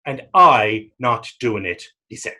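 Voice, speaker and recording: A man playing a battered, pious and self-mocking fisherman in readers theater, telling his story in halting, folksy dialect. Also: mid-range voice, lively intonation, sharp tone, exaggerated voice. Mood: reflective. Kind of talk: readers theater dramatic dialogue.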